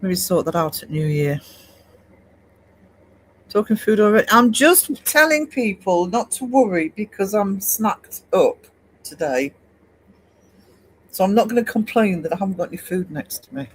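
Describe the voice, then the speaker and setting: Livestream host, man, high-pitched, rambling and chatty with his viewers. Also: expressive, normal speed, long pauses, a little hiss or hum, rough recording. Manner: chatty, rambling, many fillers and restarts. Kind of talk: casual chat reading live comments.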